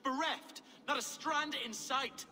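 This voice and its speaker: Fussy horse owner in a game, high bright voice, formal but whiny and offended. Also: male voice, slow pace, in a large hall, full character voice. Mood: wounded, formal. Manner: formal, plaintive, indignant. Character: aggrieved, fussy, courteous male horse keeper. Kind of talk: dramatic video game acting.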